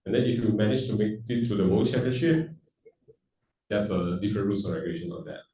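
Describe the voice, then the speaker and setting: A man's voice: on the low side, fast talker, warm tone, echoey room, recorded from a distance, a little hiss or hum. A man hosting a question-and-answer session at a live event, chatty and joking, switching between languages. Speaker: event host. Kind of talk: casual Q&A hosting with jokes. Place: event hall.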